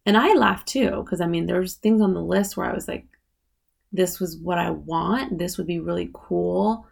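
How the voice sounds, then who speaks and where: A woman's voice: fairly low pitch, vocal fry, a little mellow. A woman in her late thirties hosting a podcast, talking casually on her own and filling her speech with "like" and "you know what I mean". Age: 30s. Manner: chatty, many fillers like "like" and "you know". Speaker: podcast host. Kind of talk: casual solo podcast talk.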